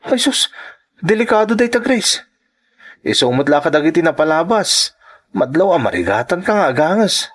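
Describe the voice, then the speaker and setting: A man's voice: a little high, big pitch swings, normal speed. A man with an Ilocano Filipino accent playing a worried, caring friend in a radio drama, colloquial and exclamatory. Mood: worried, scolding. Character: animated male friend, worried, scolding and caring.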